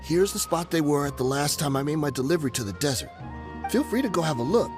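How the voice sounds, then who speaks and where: An actor voicing a shifty, nervous smuggler in a video game, with a wheedling, evasive, excitable way of talking. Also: male voice, a little high, quick pace, over music, lightly acted. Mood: grudging. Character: shifty, nervous, bargaining male smuggler and ex-mercenary.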